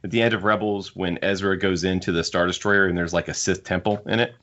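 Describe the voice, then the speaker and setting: A man's voice: sits low, fairly even intonation, fast, fairly bright tone. A man hosting a podcast, casually discussing a book and thinking aloud as he rambles. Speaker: podcast host. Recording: dull lo-fi podcast audio.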